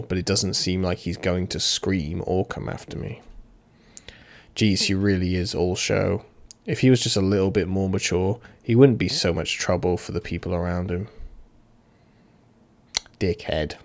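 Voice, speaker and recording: A man's voice: low-pitched, steady tone, moderate pace. A man reading a visual novel aloud as a let's player, with informal, cheeky, sweary asides. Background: game music.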